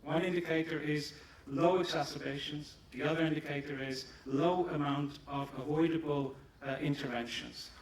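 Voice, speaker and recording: A man whose first language is German, giving a measured, emphatic conference presentation in English in a large hall, picked up from a distance. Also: on the high side, fairly even intonation, slight background noise. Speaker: pharma company presenter. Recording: echoey hall, distant mic, audience.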